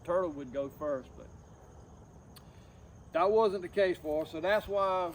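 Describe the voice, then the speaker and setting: Guy, middle aged, kinda high voice, sad and halting, folksy vlog talk outside w some background noise. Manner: plain-spoken, halting, folksy. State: subdued, grieving. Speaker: outdoors vlogger. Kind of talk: personal talk to camera.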